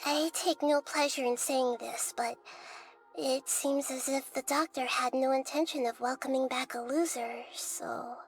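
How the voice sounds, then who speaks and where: A woman voicing a small, wise, childlike goddess in an anime game, gentle, composed and measured in her explanations. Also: sits high, steady tone, low-quality recording. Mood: serious, explanatory. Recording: soft music behind the voice.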